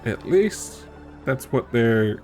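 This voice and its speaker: A man's voice: mid-range voice, lively intonation, takes it slowly, a little mellow, faint music behind. A man giving casual commentary while streaming a game, musing and thinking aloud.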